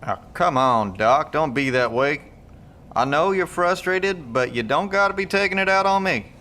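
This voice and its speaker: High-voiced, folksy lookout guy. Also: lively intonation, moderate pace, bright, forceful tone, slight background noise. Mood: placating, hurt. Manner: folksy, informal. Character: easygoing, plain-spoken male lookout with a truck. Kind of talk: audio drama acting.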